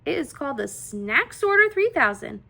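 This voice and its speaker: An eager little girl inventor from a storybook, voiced by a woman reading aloud, high and slightly creaky. She speaks earnestly and excitably, as a curious child would. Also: normal speed, exaggerated voice. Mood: earnest, proud.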